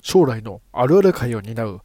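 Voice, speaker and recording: Animated male radio drama narrator. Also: mid-range voice, moderate pace, no echo. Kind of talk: scripted storybook-like narration.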